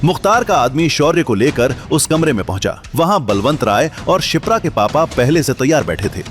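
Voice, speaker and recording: A man's voice: fairly high pitch, sing-song, normal speed, a little hiss or hum. A man narrating a scripted drama story in a dramatic storytelling style. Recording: loud music bed under voice. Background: dramatic music.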